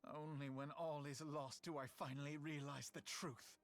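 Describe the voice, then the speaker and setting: A man's voice: a little high, level tone, normal speed, close to an everyday voice. An actor playing a once-proud teenage male elf noble in a fantasy game, with formal archaic diction and bitter self-reproach. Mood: bitter remorse. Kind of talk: dramatic fantasy game voice acting.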